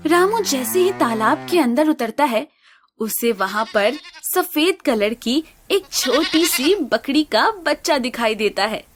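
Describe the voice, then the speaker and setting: Female storybook narrator, slow, even delivery, high-ish voice. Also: music in the background.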